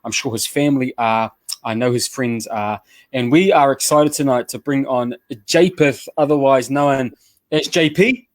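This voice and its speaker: A man's voice: medium pitch, moderate pace, no room sound. A man with a New Zealand accent hosting a casual radio chat show, upbeat and loose with slang, often restarting his sentences. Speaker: show host.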